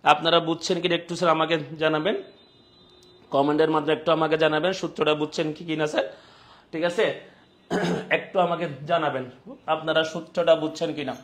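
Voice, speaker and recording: A man's voice: fairly high pitch, little pitch movement, fairly quick, long pauses, a bit bright. A man with a Bangladeshi accent teaching a live online class, repeating his points and often checking that students follow.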